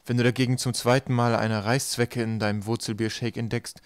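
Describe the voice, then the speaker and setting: A man's voice: medium pitch, level tone, fairly quick, clean tone, no echo. A man reading scripted storybook narration as an audiobook narrator.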